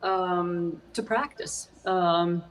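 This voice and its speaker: A woman's voice: lowish voice, steady tone, extremely slow. A woman with a faint Swedish accent, a musician guest chatting casually in an interview, with plenty of hesitant fillers. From Sweden. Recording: voice a bit far from the mic.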